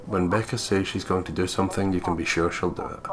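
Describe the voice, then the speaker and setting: A man's voice: low voice, fairly even intonation, quick pace, crowd noise. A man co-hosting a let's play, reading game text aloud in a playful, theatrical way with sweary asides.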